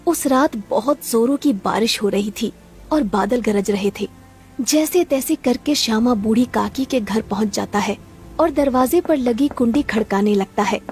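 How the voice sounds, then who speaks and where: A woman's voice: a little high, normal speed, a little mellow, soft background music. A woman narrator reading a scripted children's story.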